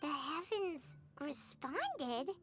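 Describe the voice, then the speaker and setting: A woman voicing a tiny, excitable childlike fairy companion in a video game, squeaky and exclamatory. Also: extremely slow, faint music behind, full character voice. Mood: curious, puzzled.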